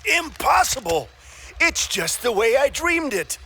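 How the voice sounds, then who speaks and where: Woman playing an excitable, theatrical inventor man puppet. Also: high-pitched, measured pace, a little hiss or hum, exaggerated voice, low-quality recording. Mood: thrilled, greedy. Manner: exclamatory, theatrical. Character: excitable male inventor puppet, Mister Olson, absent-minded and greedy. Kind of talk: church puppet show acting.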